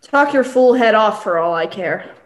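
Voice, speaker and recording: A young woman playing a stern, blustering gentleman squire in a radio play. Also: mid-range voice, lively intonation, moderate pace, lightly acted. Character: blustering, indignant English squire, male gentleman. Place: indoor room, remote video recording. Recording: echoey room, remote recording.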